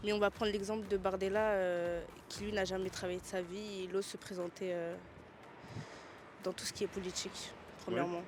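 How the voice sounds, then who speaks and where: A woman's voice: mid-range voice, flat delivery, a little fast, background noise. A woman passerby giving curt, dismissive answers in a street interview. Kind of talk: casual street interview opinion.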